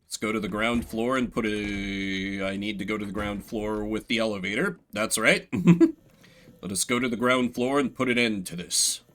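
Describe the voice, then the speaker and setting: A man's voice: fairly low pitch, moderate pace, fairly bright tone. A man giving playful, theatrical commentary as he streams a game, reading its text aloud.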